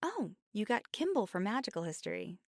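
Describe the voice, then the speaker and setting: A woman's voice: mid-range voice, big pitch swings, fairly quick, creaky, a little mellow, no echo, clean studio sound. A young woman playing a friendly, easily flustered college bookshop clerk in a chatty ASMR roleplay. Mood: helpful, brisk. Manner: chatty, stammering when flustered. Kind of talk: ASMR roleplay, scripted one-sided conversation.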